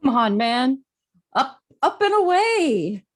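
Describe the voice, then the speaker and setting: A woman playing a gruff, exasperated male superhero, with dry delivery, at an amateur table read. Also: high-pitched, sing-song, takes it slowly, heavily acted.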